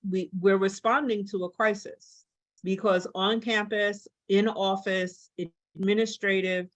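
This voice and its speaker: A woman giving a workshop presentation as a union staff presenter, halting and emphatic as she restarts her phrases. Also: mid-range voice, no room sound.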